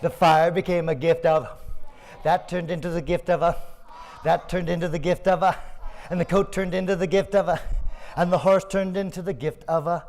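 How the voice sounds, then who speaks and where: A man's voice: high voice, fairly even intonation, normal speed, darkish tone, slight background noise. A man speaking to an audience as a live storyteller and performer. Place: community hall performance.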